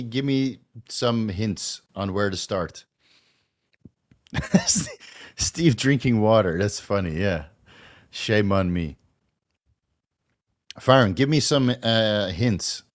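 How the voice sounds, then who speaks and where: Tipsy Dutch-accented man, lowish voice. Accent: slight Dutch. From Netherlands. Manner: loose, rambling, swears and laughs easily. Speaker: host. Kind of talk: casual livestream Q&A.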